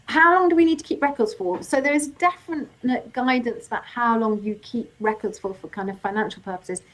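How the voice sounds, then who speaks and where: Woman with a voice turning croaky. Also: on the high side, a little fast, a bit bright, rough recording. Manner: reads out audience questions and answers them informally, with fillers. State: voice getting croaky. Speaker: legal workshop host. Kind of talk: live webinar Q&A.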